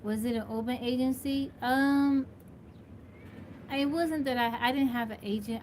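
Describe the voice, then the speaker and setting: A woman from Texas hosting a casual live stream, greeting viewers by name and answering their questions. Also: fairly high pitch. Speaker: livestream host. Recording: noisy live-stream audio.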